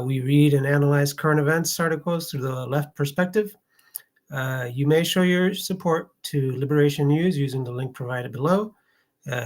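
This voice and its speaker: Hesitant man hosting a casual live stream. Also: mid-range voice. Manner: hesitant, thinking aloud.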